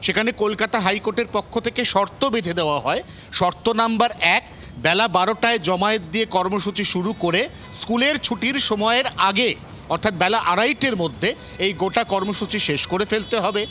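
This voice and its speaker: A male field reporter with a West Bengal Bengali accent speaks in a high, forceful voice. He explains point by point with emphasis, with faint outdoor traffic noise behind him. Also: fairly quick. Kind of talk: live explanatory news report.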